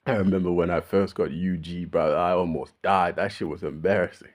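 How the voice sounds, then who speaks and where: A man's voice: deep voice, steady tone, brisk. A man with a Melbourne Australian accent, talking casually straight to his viewers in a laid-back, swearing monologue. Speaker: YouTuber.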